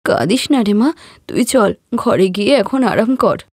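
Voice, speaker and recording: A woman voicing a doting, quick-to-blame mother-in-law in a cartoon story, scolding and fretting melodramatically. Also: medium pitch, sing-song, a little mellow, slightly rough, dry recording, studio quality. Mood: fretful doting. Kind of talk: Bengali cartoon story voice acting.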